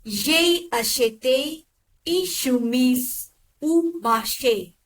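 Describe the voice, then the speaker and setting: A woman modelling sentences for a language lesson, enunciating each phrase clearly and evenly. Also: high voice, expressive, drawn-out words. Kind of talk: slow model repetitions of sentences.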